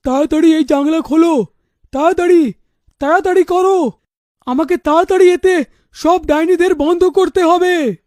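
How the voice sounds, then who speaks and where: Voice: extremely high pitch, moderate pace, pauses often, a little mellow, dry recording, clean studio sound. An actor playing a troubled, protective middle-aged father in a cartoon, grave and insistent in manner. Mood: urgent. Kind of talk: animated story dialogue.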